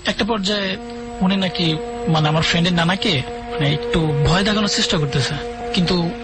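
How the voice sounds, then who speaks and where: A man's voice: high voice, brisk. A man calling in to a radio show, telling ghost stories in a casual, hesitant way with many fillers and restarts. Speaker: caller sharing ghost stories. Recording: radio broadcast with music underneath.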